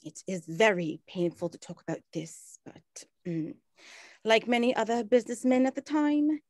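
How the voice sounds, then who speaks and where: A woman playing an earnest 1920s woman in an amateur scripted play, speaking in a measured way. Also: on the high side, lively intonation, darkish tone, dry recording, studio quality, lightly acted. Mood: solemn. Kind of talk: amateur scripted stage acting.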